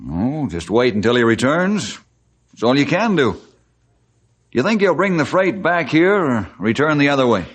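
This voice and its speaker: Firm, animated radio ranger chief. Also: male voice, mid-range voice. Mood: steady. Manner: brisk, authoritative. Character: forest ranger chief, firm, decisive, fair-minded leader. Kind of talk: old-time radio western acting. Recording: noisy old-time radio recording.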